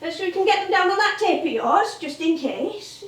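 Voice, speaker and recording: An actress playing an older music hall performer on stage, chatty and hesitant, eager and a little wistful. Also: female voice, very high voice, animated, moderate pace, a bit bright. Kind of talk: theatre acting with music hall singing. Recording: roomy theatre stage, distant microphone.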